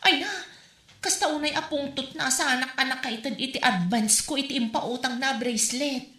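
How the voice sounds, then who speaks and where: A woman plays a put-upon borrower with an Ilocano accent, her bright, high voice ringing in an echoey room. She pleads and complains about her friend's demands. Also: normal speed. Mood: aggrieved. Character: harried borrower woman, defensive and aggrieved.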